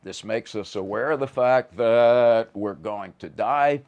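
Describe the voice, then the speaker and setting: A man's voice: mid-range voice, slow, dull audio. A man giving a conversational lecture in a wry, vivid, emphatic manner, often stammering on the starts of words.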